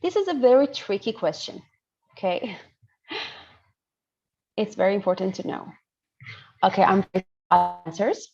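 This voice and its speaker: A woman's voice: mid-range voice, animated, unhurried, gravelly, long pauses, darkish tone. Iranian woman lecturing on a muffled call. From northern Iran. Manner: explanatory, checking understanding often. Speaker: lecturer, pediatrician. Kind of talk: interactive online medical lecture. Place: indoors on a video call. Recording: muffled video call audio.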